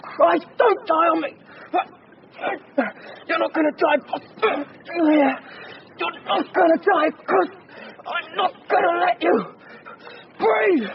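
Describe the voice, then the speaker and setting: A man's voice: very high-pitched, takes it slowly, long pauses, heavily acted. An actor playing a desperate male assistant in a radio drama, voice strained and near tears. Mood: frantic, pleading. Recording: muffled noisy radio drama recording.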